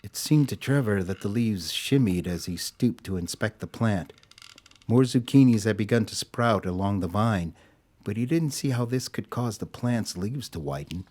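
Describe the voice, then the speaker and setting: A man's voice: fairly low pitch, expressive, moderate pace, darkish tone. A man reading scripted story narration as the narrator of an audio drama.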